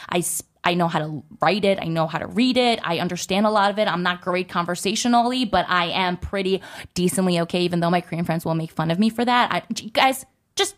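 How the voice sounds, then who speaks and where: A young woman telling a personal story to her viewers in a chatty way, full of "like" and "you know". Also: on the low side. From United States.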